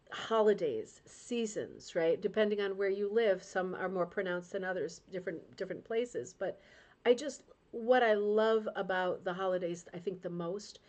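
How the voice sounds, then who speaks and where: A woman's voice: medium pitch, a little mellow. Middle-aged woman, livestream host, chatty, emotional, tag questions. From Rhode Island, United States. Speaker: host of a crafting club livestream. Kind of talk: casual livestream chat, reading viewer comments.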